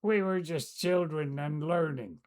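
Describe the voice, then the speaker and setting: An older woman, recalling the past in a casual, hesitant way, often pausing to search for words. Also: deep voice, darkish tone. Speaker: storyteller. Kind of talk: casual recollection.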